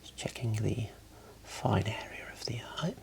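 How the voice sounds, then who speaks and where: A man in his thirties whispering softly and breathily in a calm, gentle ASMR roleplay as an examiner giving instructions, close to the mic in a small room. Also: on the low side, unhurried, vocal fry. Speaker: ASMR host playing an eye examiner.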